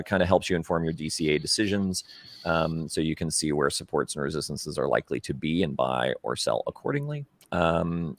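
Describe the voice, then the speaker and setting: A man guesting on a casual livestream, excitedly hyping things up with lots of "uh" fillers. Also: very deep voice, no echo. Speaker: guest chart analyst.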